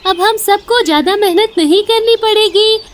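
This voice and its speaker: A woman's voice: very high voice, moderate pace, a little hiss or hum, pitched above the performer's own voice. A woman voicing an earnest, worried female little bird who urges her flock on in a children's cartoon story. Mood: relieved. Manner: earnest, urging.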